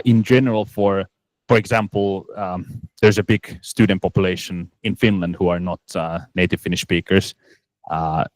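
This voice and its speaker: A man's voice: low voice, no room sound, low-quality recording. A man presenting at a conference Q&A, answering questions with many restarts and fillers. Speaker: startup presenter.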